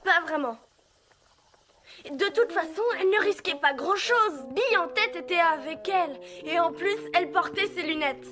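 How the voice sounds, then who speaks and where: A woman's voice: extremely high pitch, expressive, moderate pace, music in the background. A woman voicing a polite, clever young boy in a French-dubbed children's cartoon, patient and matter-of-fact. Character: polite, clever young boy who knows his science.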